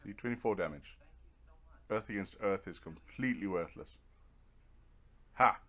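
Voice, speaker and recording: A man's voice: deep voice, a little slow, pushed and bright. A man giving casual, rambling let's play commentary, thinking aloud as he goes. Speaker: gamer narrating own playthrough.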